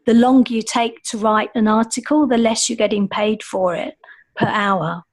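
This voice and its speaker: A woman speaking as a guest author in a casual panel interview, piecing her answers together in a thoughtful, halting way. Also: a little high, steady tone.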